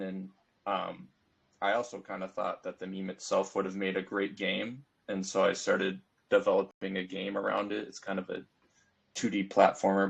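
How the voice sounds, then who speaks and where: Gravelly, monotone American man. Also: sits low. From United States. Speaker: game developer and IT worker. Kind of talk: casual self-introduction. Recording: dull, lo-fi call audio.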